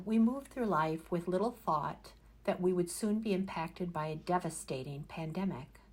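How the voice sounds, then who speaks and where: Low-voiced woman giving a formal address. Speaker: speaker delivering an organization's message.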